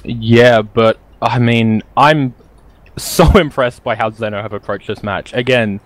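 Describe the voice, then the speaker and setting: A man's voice: medium pitch, steady tone, unhurried. Chatty male esports caster. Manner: chatty, upbeat, laughs between phrases. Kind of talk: live game commentary.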